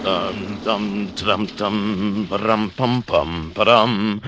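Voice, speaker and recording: An actor playing a pompous, scheming older English professor in a 1940s radio drama, fussy and deliberate in his theatrical delivery. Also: fairly low pitch, fairly even intonation. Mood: jaunty, self-satisfied.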